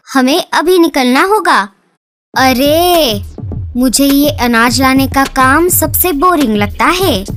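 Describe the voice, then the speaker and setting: Woman, cartoon bird voices, high voice, slow sing-song delivery, faint music underneath. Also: a bit bright. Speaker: voice of several cartoon birds. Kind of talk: cartoon dialogue acting.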